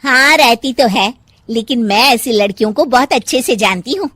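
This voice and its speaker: Woman doing nosy middle aged auntie in a story, high teasing voice, knowing, animated, dry sound. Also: normal speed, no room sound. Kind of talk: moral-story character acting.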